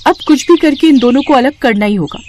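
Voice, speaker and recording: A woman's voice: sits high, a little fast, darkish tone, slight background noise, pitched above the performer's own voice, close to an everyday voice. A woman voicing a jealous, scheming college girl in a moral-story cartoon, with a sly, taunting manner. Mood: scheming, gloating.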